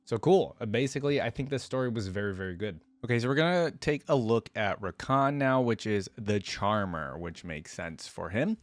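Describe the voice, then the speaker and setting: A man's voice: fairly low pitch, expressive, normal speed, hardly any pauses, no echo. A man reading fantasy lore aloud and commenting on it in a casual, informal way, as a reaction video creator. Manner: casual, informal asides between read passages.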